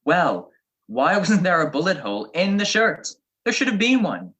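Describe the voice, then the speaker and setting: An actor playing a self-assured, witty private detective, wry and confident, in an old-time radio drama style. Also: male voice, high voice, very animated, normal speed, fairly bright tone, dull audio. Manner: wry, explaining deductions with cool confidence.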